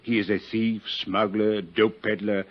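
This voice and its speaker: An actor playing an urbane, wry French police inspector with a husky voice and elaborate politeness, in a 1950s radio drama. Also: male voice, lowish voice, little pitch movement, unhurried. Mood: matter-of-fact. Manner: suave, droll, elaborately polite. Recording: dull, lo-fi 1950s radio recording.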